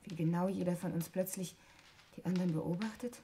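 Cool, teasing actress as a woman, mellow-voiced. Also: fairly low pitch, fairly even intonation, brisk, lightly acted. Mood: light, ironic. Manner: ironic, teasing, casual. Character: cool, teasing woman, sister in a wealthy family. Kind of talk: 1960s German radio play acting. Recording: noisy 1960s radio drama recording.

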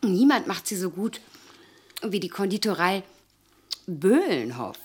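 A vain, husky, coquettish ageing lady. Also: mid-range voice, sing-song, unhurried, a little mellow. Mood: airy, coaxing. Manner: theatrical, wistful, coaxing. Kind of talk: German radio play acting.

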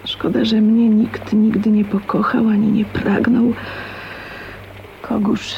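An actress in a Polish radio drama playing a timid, bitter spinster of twenty-nine, musing to herself in a hushed, resigned manner. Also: female voice, mid-range voice, flat delivery, takes it slowly, creaky, background noise. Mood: resigned, sad. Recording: dull lo-fi sound, music behind.

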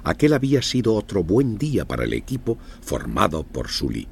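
A man's voice: on the low side, wildly swooping pitch, fairly quick, vocal fry, darkish tone, slight background noise. A man narrating a scripted children's story with dramatic storytelling delivery.

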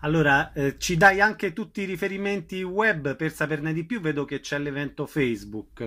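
A man's voice: on the high side, normal speed, a bit bright, a little hiss or hum. A man working as an interviewer, putting informal questions to a guest and summing up details for listeners. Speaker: radio-style interviewer.

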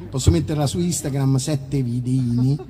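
A man's voice: mid-range voice, moderate pace, mellow, in a large hall. Man chatting casually on stage. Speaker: musician performing a concert. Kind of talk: casual stage chat between songs. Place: live outdoor concert in a park.

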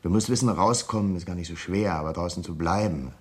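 A man acting in a German radio crime drama as a cool, menacing escaped convict, sardonic and insistent, with a husky voice. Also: low voice, quick pace, darkish tone. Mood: coolly sardonic.

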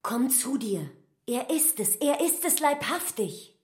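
A woman's voice: high voice, big pitch swings, slow pace, darkish tone, full character voice. An actress playing a devoted, passionate woman in a radio drama, fervent and imploring. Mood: urgent, excited. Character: devoted, passionate woman protecting her broken lover.

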